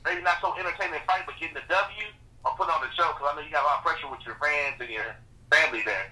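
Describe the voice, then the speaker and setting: A man's voice: a little high, rapid-fire, pushed and bright, distant mic. A man working as a sports reporter, asking casual, slangy, stumbling questions over a thin telephone line.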